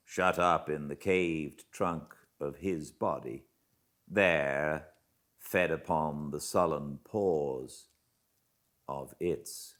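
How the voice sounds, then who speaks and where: British guy narrating an old novel, really low voice, slow and theatrical w/ dramatic pauses. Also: fairly bright tone. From Britain. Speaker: audiobook narrator and host. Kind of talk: expressive literary audiobook narration.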